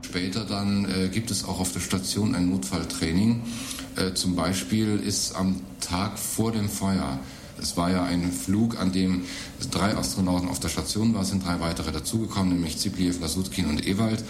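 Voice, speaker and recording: A man in an archival radio interview, explaining haltingly with fillers. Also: low voice, steady tone. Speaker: interviewee explaining space station training. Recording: roomy archival radio recording with noise.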